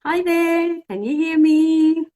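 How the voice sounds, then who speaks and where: A woman's voice: extremely high pitch, steady tone, slow pace, dark tone. A woman from Cyprus whose first language is Greek, an English teacher hosting a casual live-stream chat and thinking aloud as she sorts out technical trouble. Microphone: phone.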